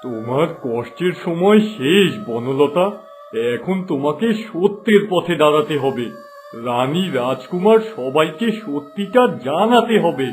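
A man's voice: fairly high pitch, animated, a little slow, a little hiss or hum. A man playing a kind, resolute holy sage in a cartoon fairy tale, speaking with solemn assurance. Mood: solemn, reassuring. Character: benevolent, resolute holy sage with mystic powers.

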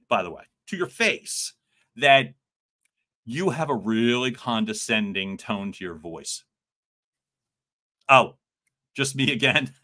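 Middle aged coach guy going live from home, loud, bouncy pitch, jokey with viewers. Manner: animated, joking with viewers, loud and expressive. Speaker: communication coach. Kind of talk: livestream coaching talk. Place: home room with lamp. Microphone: large studio mic in front.

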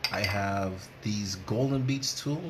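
A man's voice: lowish voice, takes it slowly, heavy background noise. A middle-aged man hosting a casual cooking demonstration, chatty and repeating phrases for emphasis. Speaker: chef and cooking show host.

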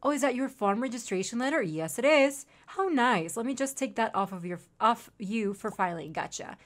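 A woman's voice: a little high, animated, moderate pace. A young woman from Mexico with a Spanish accent, streaming a farming game and reading its dialogue aloud, with chatty asides and gasps. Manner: chatty, reads character lines aloud, reacts with gasps.

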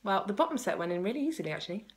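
A woman's voice: medium pitch, big pitch swings, very fast. A woman in her thirties chatting casually and enthusiastically to camera, recorded on a phone in a room at home. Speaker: vlogger. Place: small room at home by a window, indoors. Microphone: phone at arm's length.

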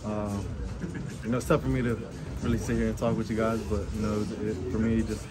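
A young man and football player giving an emotional post-game interview, speaking softly with many fillers and restarts. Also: on the low side, level tone, fairly quick, loud music behind the voice. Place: stadium locker room, indoors. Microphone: several reporters' handheld mics. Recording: loud room noise behind.

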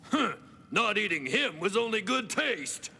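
A gravelly, self-pitying dragon, played by a man, who speaks slowly and swings widely in pitch. He whines theatrically and bursts into shouts, with clear music playing underneath. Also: very animated, slight background noise, heavily acted. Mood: complaining. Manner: theatrical, complaining, with sudden shouts. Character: boastful grumpy male dragon, self-pitying.

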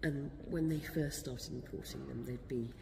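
A woman's voice: a bass voice, fairly even intonation, moderate pace, a little mellow. A woman, a church warden, informally explaining things to a visitor in a hesitant way inside a church. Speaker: church warden acting as guide. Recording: reverberant church, clear background noise.

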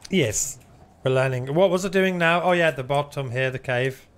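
A man talking to viewers on a live game stream in a relaxed, rambling way. He reads the chat aloud, drops the odd swear word and keeps up a loose running commentary. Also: a little high, moderate pace, clean tone.

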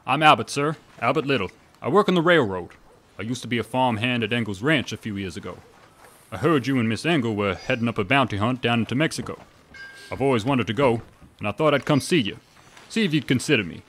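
A man's voice: mid-range voice, animated, fast, a bit bright, slight background noise, natural voice. A man playing eager young ranch hand. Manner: earnest, polite. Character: eager young male railroad worker.

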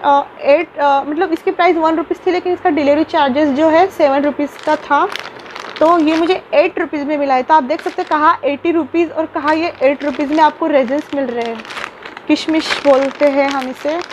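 Young woman vlogger, high-pitched, chatty with fillers and restarts, close phone mic in a small room. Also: darkish tone, muffled recording, a little hiss or hum. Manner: chatty, many fillers and restarts, mixes English words into Hindi. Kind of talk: casual unboxing vlog.

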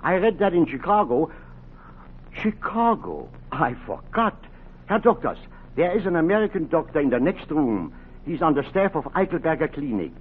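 An actor playing an excitable, well-meaning professor in a 1940s radio drama, stammering and earnest. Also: male voice, a little high, normal speed. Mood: flustered, eager. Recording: muffled, crackly 1940s radio recording.

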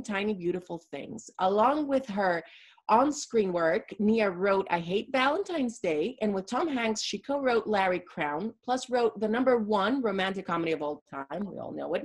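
A woman in her thirties moderates an online interview. Her voice sits at a middle pitch with some huskiness, picked up by a device microphone indoors. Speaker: moderator, film producer. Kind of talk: interviewing on a video call. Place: living room indoors, on a video call.

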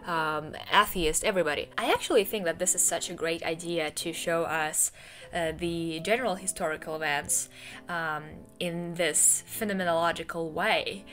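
Young woman, native Russian speaker, somewhat low voice, casual book chat, desk mic, faint background music. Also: fairly bright tone. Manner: chatty, many ums and uhs. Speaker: book vlogger. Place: home room with bookshelves, indoors. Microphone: desk podcast mic on a boom arm.

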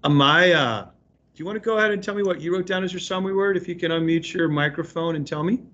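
A man's voice: high-pitched, fast talker, fairly bright tone, lo-fi sound. A man speaking as an elementary school teacher, reading aloud and explaining to a remote class of children in an encouraging way.

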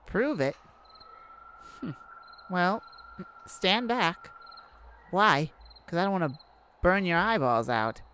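Woman acting a slow, low-voiced proud alien star. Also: expressive, a bit bright, a little hiss or hum. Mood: indignant. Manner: halting, exclaiming, slightly formal wording. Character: wounded fallen-star alien, female, proud, wry, bewildered by humans. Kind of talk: roleplay audio drama addressed to the listener.

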